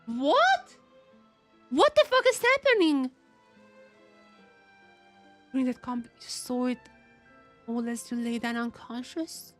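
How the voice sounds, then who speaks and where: A woman giving excitable, casual live reactions as she streams a game, often repeating her words. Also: a little high, a little slow, long pauses, soft background music.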